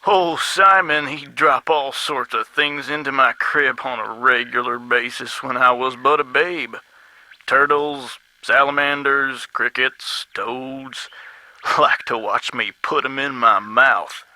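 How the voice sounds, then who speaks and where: A man's voice: medium pitch, fairly even intonation, slow, sharp tone, dry recording. An actor playing a folksy, long-winded man with a Southern US accent, rambling in a game. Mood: folksy reminiscing. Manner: garrulous, drawling folksy storytelling. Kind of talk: game voice acting.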